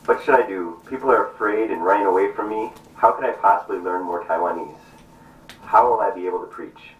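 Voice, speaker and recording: Man playing an earnest low-voiced missionary, distant and echoey. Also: fairly quick, a little hiss or hum, natural voice. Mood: dismayed. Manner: formal, earnest declaiming. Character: earnest devout Canadian missionary doctor in old Formosa. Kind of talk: stage puppet show acting. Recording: distant, roomy, muffled stage recording with music.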